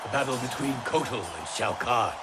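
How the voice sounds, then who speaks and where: A man's voice: mid-range voice, brisk, close to an everyday voice. A man voicing a thoughtful warrior. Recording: loud music and crowd noise.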